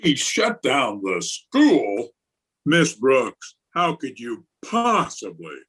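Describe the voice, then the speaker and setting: A man's voice: medium pitch, huge pitch swings, takes it slowly, a bit bright, breathy edge, full character voice. Man acting a blustering, pompous school principal. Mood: blustering, outraged. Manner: pompous, indignant, theatrical. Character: blustering, exasperated, pompous middle-aged male school principal. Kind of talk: old-time radio comedy acting. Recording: remote video-call recording.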